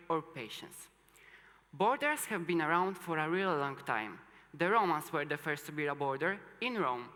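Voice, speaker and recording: Bright-voiced young Polish speaker in a hall. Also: medium pitch. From Poland, moved to Italy as a teenager. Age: young adult. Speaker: student speaker. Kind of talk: rehearsed public talk. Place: large indoor hall or stage. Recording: reverberant hall sound.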